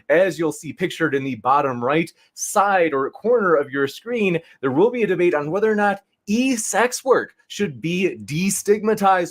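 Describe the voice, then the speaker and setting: A man moderating a debate, chatty and enthusiastic, calling the audience folks. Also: sits high. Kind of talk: upbeat moderator introduction.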